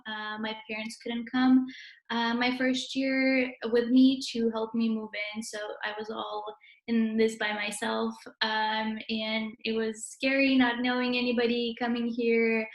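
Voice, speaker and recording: A young woman in her twenties who grew up in Russia and speaks Russian natively gives casual interview answers. Her voice sits a little high for a woman. The recording is noticeably roomy and echoey. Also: level tone, unhurried. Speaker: interviewee, university student.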